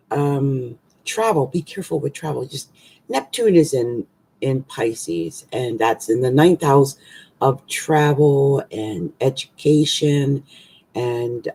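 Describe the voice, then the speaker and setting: A hoarse, husky-voiced woman talks slowly through an astrology forecast, her tone dark and mellow. She rambles, circling back with ums and restarts. It sounds like a webcam in a small room at home. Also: rumbling low. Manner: slow, rambling, many fillers and restarts. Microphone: webcam at desk.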